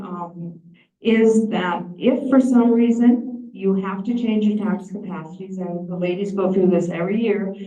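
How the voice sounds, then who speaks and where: Woman presenter, mellow tone, distant mic in an echoey room with dull sound. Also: medium pitch. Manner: plain-spoken, explanatory. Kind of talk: meeting presentation. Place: large meeting room.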